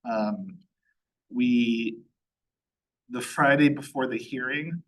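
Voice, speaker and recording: A man's voice: medium pitch, slow pace, low-quality recording. A man, a chief of staff, giving a matter-of-fact spoken update at a public meeting, with frequent ums and uhs.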